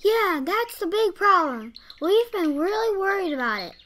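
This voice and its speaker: A young female performer playing an excitable, anxious child in a radio play. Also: very high voice, moderate pace, exaggerated voice. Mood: worried.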